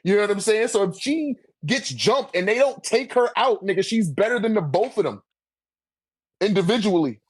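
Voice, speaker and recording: A man's voice: sits high, animated, moderate pace, slightly rough, dry recording. A man in casual debate, arguing his points in slangy, profane language. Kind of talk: casual debate about a manga tier list.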